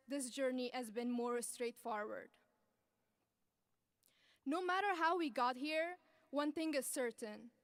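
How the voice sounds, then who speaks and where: A young woman from Afghanistan giving an earnest, measured graduation speech as the student speaker at a podium microphone. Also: high-pitched, dry recording. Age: young adult. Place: large ceremony venue.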